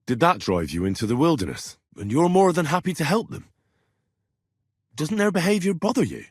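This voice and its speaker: An actor playing an earnest, plucky young medieval adventurer in a video game, polite and plain-spoken, with a slightly husky voice. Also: male voice, fairly high pitch, sing-song, moderate pace, no echo, clean studio sound. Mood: curious. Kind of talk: video game character dialogue.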